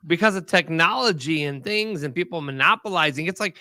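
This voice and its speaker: A man's voice: fairly high pitch, lively intonation, brisk, sharp tone, no room sound, studio quality. A man who works in radio, hosting a casual chat show in an upbeat way. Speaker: radio host.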